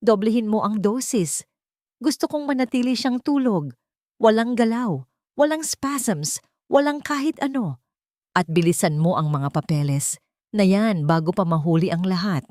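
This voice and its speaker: A woman's voice: mid-range voice, expressive, moderate pace, darkish tone, dry recording, clean studio sound, pitched above the performer's own voice, lightly acted. A woman voicing a cold, scheming, ruthless rich wife in an audio drama, irritated and demanding. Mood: ruthless, commanding.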